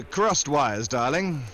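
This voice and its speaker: An actor playing a glib, flirtatious American secret agent in a 1940s-style radio drama, smooth and wisecracking. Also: male voice, on the high side.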